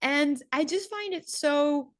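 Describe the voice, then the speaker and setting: A woman's voice: sits high, takes it slowly, dry recording. Chatty woman teacher, dry close sound. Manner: chatty, loose, with frequent "like" and "um". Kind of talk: casual reflective monologue.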